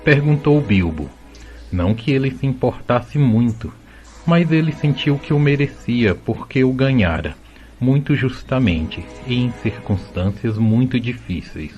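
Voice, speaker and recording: A man's voice: mid-range voice, animated, a little slow, a little mellow, lo-fi sound, faint music behind. A man with a Brazilian accent reading scripted storybook narration for an audio drama.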